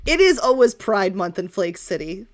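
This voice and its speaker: A woman's voice: medium pitch, sing-song, moderate pace. A woman game master narrating a tabletop roleplay game in an informal, joking way.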